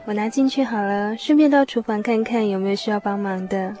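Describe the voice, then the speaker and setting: A woman's voice: mid-range voice, a little fast, mellow, faint music behind, close to an everyday voice. An actress playing a helpful, dutiful young mother in a radio drama. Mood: helpful, busy.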